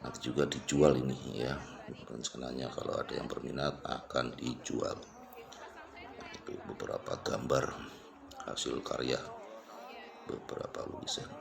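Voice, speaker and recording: Very deep, gravelly man. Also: very animated, moderate pace, long pauses. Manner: unscripted, repetitive, pointing things out. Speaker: vlogger giving an exhibition tour. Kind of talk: casual walk-through commentary. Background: crowd at an exhibition.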